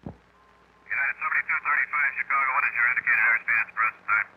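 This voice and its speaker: A man's voice: medium pitch, fast, sharp tone. A man speaking in clipped aviation phrases over an old radio. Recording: very noisy vintage radio line.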